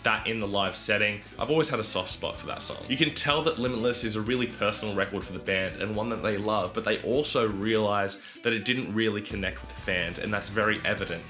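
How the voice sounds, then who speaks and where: A man with a fairly low voice, a bit croaky from a cold, chats through a concert review with easy enthusiasm and leans hard on "really". Music plays clearly underneath him. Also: brisk, few pauses, bright, forceful tone. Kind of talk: casual spoken concert review.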